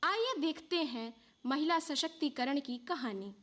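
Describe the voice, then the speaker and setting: A woman's voice: high-pitched, lively intonation, measured pace, fairly bright tone. A woman narrating a stage dramatisation in scripted, poetic declamation.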